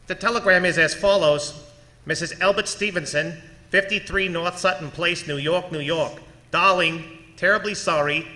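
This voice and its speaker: Man acting telegram clerk, high and very sharp voice, impersonal recital, formal officialese, old-time radio play. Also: moderate pace. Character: impersonal telegram company clerk reading a message.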